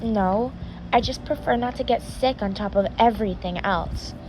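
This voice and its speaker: A woman's voice: mid-range voice, moderate pace, darkish tone, soft background music, close to an everyday voice, poor audio. A woman voicing a snarky, blunt young female survivor in a zombie story read aloud. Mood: mocking. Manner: sarcastic, blunt.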